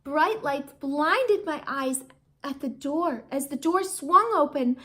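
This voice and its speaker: A woman's voice: sits high, animated, slow, pushed and bright. A woman teacher reading a children's storybook aloud in a theatrical, emphatic way that invites young listeners in. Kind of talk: expressive children's storybook narration.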